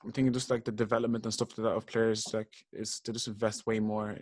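A man's voice: fairly low pitch, level tone, fast. A young man hosting a podcast, easygoing and casual, asking questions and giving short encouraging replies. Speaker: podcast host.

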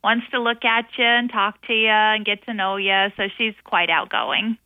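A woman's voice: mid-range voice, moderate pace, dry recording. A young-sounding woman speaking as an animal shelter guest in a conversational radio interview, heard over the telephone. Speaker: animal shelter guest presenting pets for adoption. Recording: thin phone line into radio studio.

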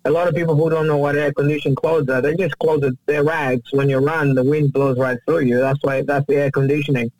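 A man's voice: fairly high pitch, little pitch movement, a little fast, few pauses, a little mellow, no echo, low-quality recording. A man, a guest telling his life story earnestly on a faith radio talk show. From Uganda. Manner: earnest, upbeat storyteller who chuckles easily. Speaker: guest, worship minister. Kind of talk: casual radio interview, personal story.

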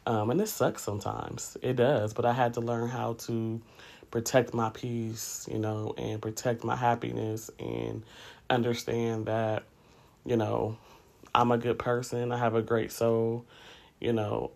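A man's voice: mid-range voice, creaky. A man in his late thirties hosting a podcast, talking candidly in a casual, reflective monologue with conversational asides. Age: late 30s. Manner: candid, conversational, often says "you know". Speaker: podcast host.

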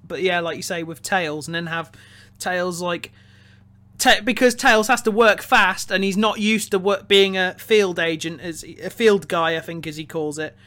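A man's voice: high-pitched, steady tone, normal speed, bright, forceful tone. A man co-hosting a casual film podcast, speaking hesitantly and often repeating words as he forms his opinion. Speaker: podcast co-host, film reviewer. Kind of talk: casual chat about film.

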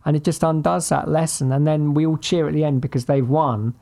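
A man's voice: a little high, fairly even intonation, a little fast, vocal fry, mellow. A middle-aged British man co-hosting a casual sports podcast, thinking aloud in a hesitant, thoughtful way and repeating words as he reasons. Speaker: podcast co-host.

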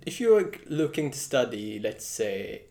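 Young man interviewing, mid-pitched voice. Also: very animated, unhurried, mellow. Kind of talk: casual conversational interview.

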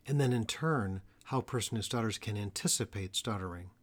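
A man with a lowish voice talks alone into the mic for his podcast about stuttering. He is earnest and measured, and he slows right down to lean on the ideas he wants to stress. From Atlanta area, US. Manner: earnest, measured delivery with slow emphatic phrases. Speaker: podcast host, stuttering therapist. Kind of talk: solo educational podcast talk.

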